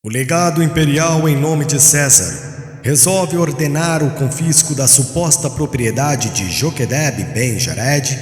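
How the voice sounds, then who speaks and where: A man's voice: a little high, a little slow, echoey room, in a large hall, natural voice. A man voicing a stern, haughty Roman official who delivers imperial orders formally in an audiobook drama.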